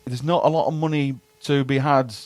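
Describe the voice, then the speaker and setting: A man's voice: medium pitch, steady tone, brisk, dry recording. A man, hosting a casual radio interview and asking chatty, rambling questions. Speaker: radio presenter. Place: radio studio.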